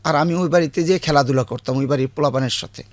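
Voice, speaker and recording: A man with a rural Bangladeshi accent, a guest recounting childhood memories in a halting way, often correcting himself. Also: on the high side, dry recording.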